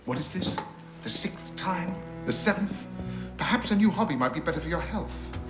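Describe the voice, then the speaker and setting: Stern guardian, expressive pitch. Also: male voice, normal speed, over music. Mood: weary reproach. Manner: authoritative, dryly exasperated. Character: stern, dry older gentleman, exasperated guardian and master. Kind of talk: dramatic video game voice acting.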